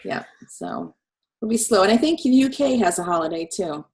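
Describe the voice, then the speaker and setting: A woman in her forties with a cold, hosting a casual video call chat, chatty and quick to laugh. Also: mid-range voice, expressive, darkish tone. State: snuffly from a cold. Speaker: host.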